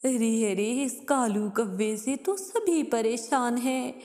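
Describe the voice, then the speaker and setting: A woman voicing a gentle mother bird who consoles with soothing concern in a cartoon. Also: on the high side, takes it slowly. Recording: noisy, long hall-like reverb.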